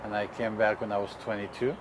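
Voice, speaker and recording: A man's voice: on the low side, flat delivery, quick pace. Easygoing man with Colombian accent. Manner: easygoing, laughs at himself. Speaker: guest guitarist. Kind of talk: interview. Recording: noisy background with passing vehicles.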